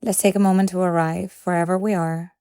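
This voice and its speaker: A woman leading a guided meditation in a calm, gentle and measured way, leaving pauses between phrases. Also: fairly low pitch, clear, resonant tone, no echo, studio quality.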